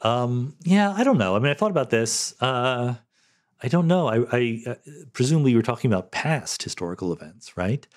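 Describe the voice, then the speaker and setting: A man's voice: medium pitch, big pitch swings, a little fast. A middle-aged man hosting a solo podcast, reading listener questions and answering them in a thoughtful, conversational way with fillers and restarts.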